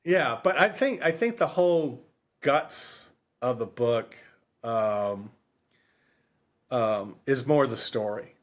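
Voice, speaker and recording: A man's voice: medium pitch, lively intonation, slow. Rambling man chatting on a book podcast. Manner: rambling, repeats phrases, self-correcting. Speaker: podcast co-host. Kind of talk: casual unscripted book discussion.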